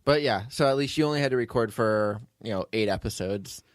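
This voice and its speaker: A man's voice: lowish voice, fairly quick, dry recording, studio quality. A man hosting a casual behind-the-scenes podcast chat in a rambling, joking, self-deprecating way. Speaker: podcast host, writer and producer of an audio drama.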